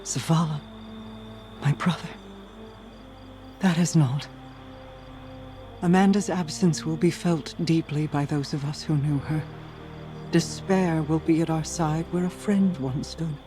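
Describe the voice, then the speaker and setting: A woman voicing a composed, regal queen in a game cutscene, grave and consoling, speaking softly in measured, formal phrases. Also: sits low, soft background music. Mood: solemn, consoling. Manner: measured, formal, soft-spoken. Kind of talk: dramatic video game cutscene acting.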